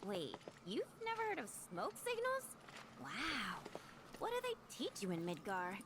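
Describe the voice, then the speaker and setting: A woman's voice: a little high, huge pitch swings, a little slow, noisy background. An actress playing a brash, cocky young female ninja in a video game, mocking and sure of herself.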